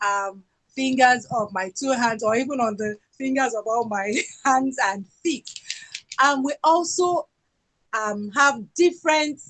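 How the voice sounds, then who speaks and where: A Nigerian woman with a Yoruba accent, presenting her country's culture and songs in an unhurried talk full of fillers. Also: high voice, a little hiss or hum, poor audio. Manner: sings folk songs, speaks slowly and warmly with fillers.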